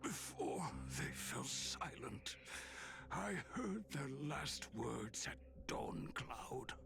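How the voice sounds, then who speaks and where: A man voicing an ailing old priest in a video game, solemn and grateful, with a weak, breathy voice. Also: mid-range voice, sing-song, drawn-out words, noisy background, exaggerated voice. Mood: grave, ashamed. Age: older.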